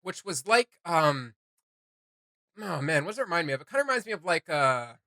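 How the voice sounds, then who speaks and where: Guy doing reaction chat, kinda high bright voice, dry close sound, keeps hunting for words. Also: sing-song, a little fast, dry recording. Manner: rambling, searching for words. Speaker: co-host of a reaction channel.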